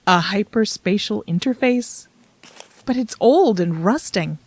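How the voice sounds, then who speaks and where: A woman's voice: medium pitch, expressive, measured pace, no room sound. A woman playing a level-headed, inquiring companion in an audio drama, plain and to the point. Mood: puzzled. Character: level-headed, questioning female companion to a time traveller.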